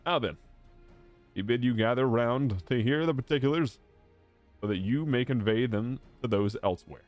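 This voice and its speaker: A man voicing an earnest statesman from a fantasy game in a formal, declamatory, measured way. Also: mid-range voice, highs cut off, close to an everyday voice. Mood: solemn, proud. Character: formal, earnest statesman addressing his war-weary nation. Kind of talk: reading fantasy game dialogue aloud. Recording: game music playing behind the voice.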